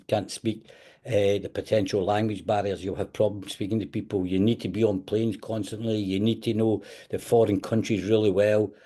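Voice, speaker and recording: Scottish man giving an animated webinar. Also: on the low side. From Scotland. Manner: animated, emphatic, direct advice with Scottish words like "wee". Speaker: webinar host and football agent coach. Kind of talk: online webinar teaching. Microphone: video call.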